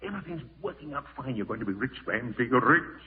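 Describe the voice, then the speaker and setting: A man's voice: mid-range voice, lively intonation, fast talker, a little hiss or hum, lightly acted. An actor in a 1940s radio horror play as a cruel, scheming man, melodramatic and intense, on a tinny old recording. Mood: gloating. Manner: menacing, melodramatic, muttering to himself. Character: cruel scheming man gloating, then frantic with panic.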